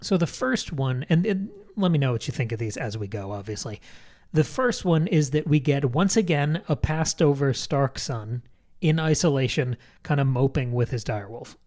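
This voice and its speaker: A man with a mid-pitched voice talks as co-host of a book discussion podcast. He is articulate and thoughtful, laying out analysis in long, wordy, conversational sentences. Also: animated, moderate pace, darkish tone.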